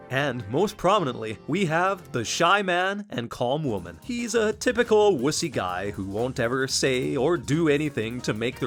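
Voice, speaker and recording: A man's voice: medium pitch, fairly bright tone. A man giving earnest, scripted video essay narration about games in polished phrasing. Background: background music.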